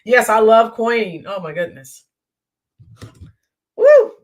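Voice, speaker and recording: A woman hosting a live stream, chatting in a rambling way with viewers and greeting them by name, her voice hoarse and worn. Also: a little high, huge pitch swings, takes it slowly, a little mellow, muffled recording. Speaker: YouTube channel host. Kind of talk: live-stream chat sign-off.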